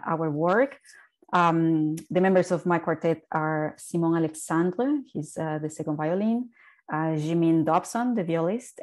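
A woman's voice: low voice, a little mellow. A woman, a violinist speaking on a video call from home through earphones, thoughtful, with many fillers and restarts. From Bolivia. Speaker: violinist, quartet founder. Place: home living room. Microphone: wired earphone headset.

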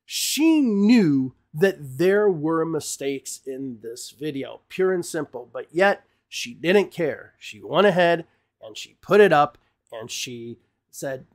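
Middle-aged male host, higher-pitched voice, emphatic ranting commentary, repeats words, close desk mic. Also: lively intonation, takes it slowly. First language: English. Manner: gestures broadly with hands while talking. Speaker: English teacher and channel host. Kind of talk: opinionated commentary to camera. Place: small home studio room with bookshelves, indoors. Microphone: podcast mic on desk in front of him.

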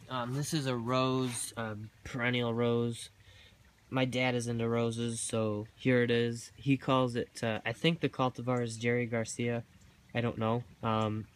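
A man's voice: mid-range voice, slight background noise. A young man giving a casual tour of his backyard garden while filming, talking with many ums and uhs. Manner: halting, many ums and uhs, chuckles at own jokes. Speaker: gardening vlogger. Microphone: handheld camera mic, filming himself off screen.

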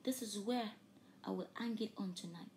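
A woman with Yoruba as her preaches fervently, her mid-range voice climbing to loud emphatic peaks. She often drops to soft, breathy near-whispers. A faint hiss of noise runs under the recording. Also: medium pitch, normal speed. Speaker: preacher and host. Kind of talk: preaching a sermon with an altar call.

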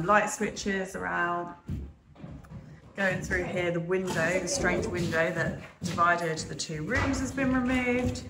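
Woman vlogger, low gravelly tone, casual house-tour chat, noisy phone audio. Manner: chatty, frequent "um" and "so". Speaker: vlogger touring a house renovation. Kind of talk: casual walkthrough narration. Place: empty stripped-out house, indoors.

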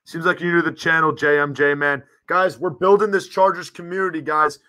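Male, twenties, higher-pitched, casual fan-stream host, voice starting to go. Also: fairly even intonation, fairly quick, pushed and bright. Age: 20s. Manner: informal, addresses viewers as guys. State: losing his voice. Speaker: livestream host. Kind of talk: casual fan livestream chat. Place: small home room, indoors. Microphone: close desk microphone.

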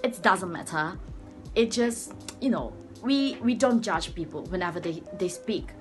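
A woman's voice: on the low side, animated, measured pace, faint music behind. A young woman from Sabah with a Malaysian accent whose first language is Malay, talking to camera in an earnest, rambling way, full of restarts, in a small room. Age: young adult. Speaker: vlogger. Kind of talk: casual talk to camera. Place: small room indoors, by a door. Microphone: front-facing camera at arm's length.

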